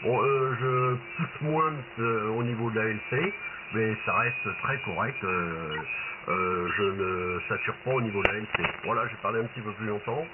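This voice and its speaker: A man from France with a deep voice, chatting casually as he makes a test transmission on amateur radio. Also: moderate pace. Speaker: amateur radio operator testing his microphone. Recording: noisy, narrow shortwave radio transmission.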